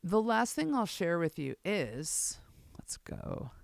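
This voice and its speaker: Low-voiced woman host, slow, lively-pitched, rambling chat with viewers over loud bass music. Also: creaky, dry recording, clean studio sound. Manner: rambling, self-joking, addresses viewers as "guys". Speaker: bassist and singer hosting a live session. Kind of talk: casual chat to viewers during rehearsal. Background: loud bass guitar and music.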